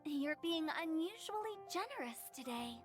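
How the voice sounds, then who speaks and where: A woman's voice: very high voice, very animated, moderate pace, over music. A woman playing a shrewd, outspoken young funeral director in a game, questioning people pointedly. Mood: suspicious. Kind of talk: animated game voice acting.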